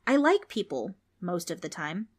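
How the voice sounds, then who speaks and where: A woman's voice: fairly low pitch, very animated, moderate pace. A woman reading aloud, voicing a frightened but defiant young girl.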